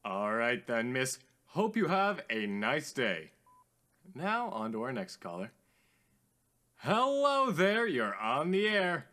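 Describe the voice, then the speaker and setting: A man playing a theatrical, lively-voiced radio host. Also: medium pitch, heavily acted. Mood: polite, theatrical. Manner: formal, genteel, drawn-out greetings. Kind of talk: radio drama acting as call-in host.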